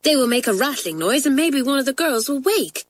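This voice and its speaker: A woman voicing Pat, an eager, quick-witted schoolgirl who talks in urgent whispers. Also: high voice, expressive, pitched above the performer's own voice.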